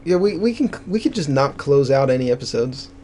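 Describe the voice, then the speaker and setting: A man's voice: medium pitch, very animated, a little fast, warm tone, a little hiss or hum. A man co-hosting a casual video game let's-play, with a somewhat nasal voice and a joking, rambling way of talking. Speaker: co-host of a gaming channel.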